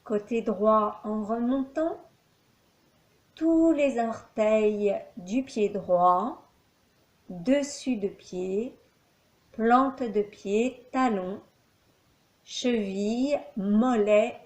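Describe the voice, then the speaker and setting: A woman with a fairly high voice guides a relaxation meditation at an extremely slow pace. She gives calm, measured instructions as a yoga nidra teacher, placing each short phrase on its own and leaving long pauses between them.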